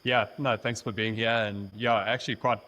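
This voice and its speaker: A man with a South African accent, a company vice president speaking as a podcast guest in a measured, steady way. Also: lowish voice, poor audio. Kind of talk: casual interview chat.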